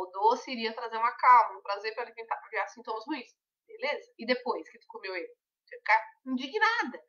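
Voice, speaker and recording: A woman guides a group through a mentoring session, her voice bright and fairly high. She explains patiently with everyday examples. The audio sounds distant and muffled, like an online call. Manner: conversational, reassuring, explains with examples. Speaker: weight-loss mentor.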